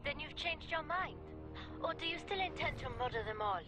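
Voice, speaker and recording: A woman's voice: high-pitched, animated, normal speed, music in the background. A woman playing a defiant young resistance fighter in a video game, with a challenging, pointed delivery. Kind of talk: video game cutscene acting.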